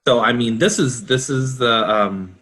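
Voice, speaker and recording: A man explaining technical standards in a panel discussion as a consultant, in a halting, self-correcting way. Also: mid-range voice, a bit bright.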